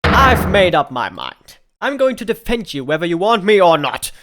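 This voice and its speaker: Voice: medium pitch, very animated, moderate pace, background noise, close to an everyday voice. An amateur actor voicing an earnest, easily exasperated young male defense lawyer in a comedic game dub. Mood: determined.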